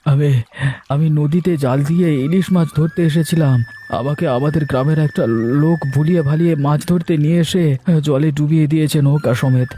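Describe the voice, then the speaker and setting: Man acting a mellow, weary village man. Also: a little high, normal speed, a little hiss or hum, close to an everyday voice. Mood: weak, shaken. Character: exhausted, poor, betrayed village man. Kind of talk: cartoon dubbing.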